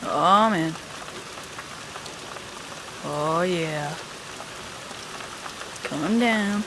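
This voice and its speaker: A woman's voice: low voice, drawn-out words. A woman chatting casually and muttering informal reactions while filming an amateur video. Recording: noisy home recording with rain-like hiss.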